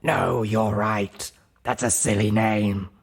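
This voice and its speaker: Mad ghoul pony scientist, audiobook villain, croaky rasp, slow deluded muttering, obsessive. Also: male voice, fairly low pitch, fairly even intonation, full character voice. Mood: deluded, encouraging. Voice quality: raspy, gravelly. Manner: slow, muttering, rambling to himself. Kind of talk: audiobook character voice.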